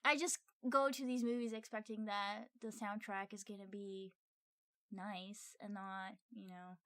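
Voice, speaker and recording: A woman co-hosting a podcast in casual, unscripted chat, thinking aloud in halting phrases with a playful, teasing streak. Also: medium pitch, steady tone, fairly bright tone, no room sound, studio quality. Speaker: podcast co-host reviewing a film.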